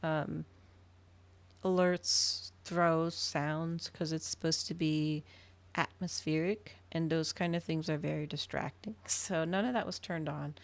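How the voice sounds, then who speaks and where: A woman's voice: low-pitched, steady tone, unhurried. A woman livestreamer chatting casually to her viewers, rambling, trailing off and thinking aloud. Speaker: livestreamer hosting an ASMR and gaming channel.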